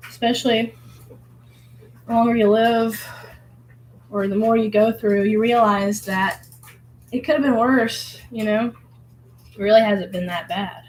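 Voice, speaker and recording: Hoarse woman musing while painting. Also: on the high side, fairly even intonation, measured pace, pauses often, a little mellow, a little hiss or hum, poor audio. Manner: rambling, filler-heavy, musing. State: hoarse from illness. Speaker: vlogger painting. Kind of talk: casual reflective monologue.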